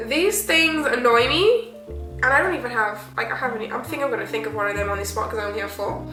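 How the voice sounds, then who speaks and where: A high-pitched woman talks casually to camera, her nose stuffy and sniffly from a cold. She teases and laughs as she goes. The room rings with echo and music plays behind her. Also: fast, bright, forceful tone. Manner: chatty, laughs often, teasing. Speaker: co-host of a couple channel. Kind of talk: casual couple chat to camera.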